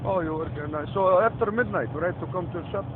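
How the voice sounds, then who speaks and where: A man's voice: sits high, fast talker, natural voice. Man putting on a chatty Indian-accented trucker, noisy phone. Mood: puzzled, chatty. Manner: rambling, effusive, repeatedly calls listener friend and man. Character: chatty, confused, overly friendly Indian trucker. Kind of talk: casual phone call. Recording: tinny phone line, loud vehicle noise. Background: vehicle engine noise.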